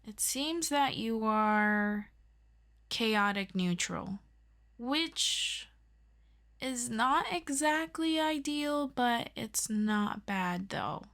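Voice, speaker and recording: A woman's voice: on the high side, fairly bright tone, exaggerated voice. A woman acting as an earnest, chatty superhero best friend in an ASMR roleplay, rambling and hesitant with affection. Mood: playful, thoughtful.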